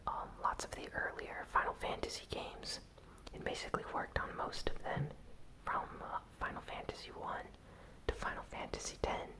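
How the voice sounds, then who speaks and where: A host whispering a news recap in ASMR style, softly and with gentle pauses. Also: poor audio. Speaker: host of a gaming news channel.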